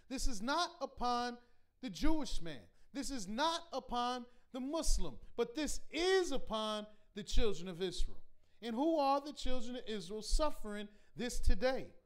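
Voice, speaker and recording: A man teaching a scripture class in a preaching style, reading verses slowly and pressing his points with repeated rhetorical questions. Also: very high voice, sing-song, fairly bright tone. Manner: emphatic, repetitive rhetorical questions; slow deliberate scripture reading.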